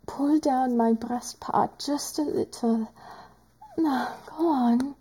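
Woman doing a sultry teasing doctor, audio roleplay, mellow soft voice, super slow whispery talk. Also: high-pitched, breathy edge, exaggerated voice.

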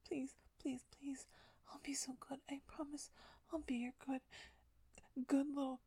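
A woman performing an audio roleplay in a breathy, pleading whisper that often stammers. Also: high-pitched, flat delivery, measured pace, warm tone, dry recording.

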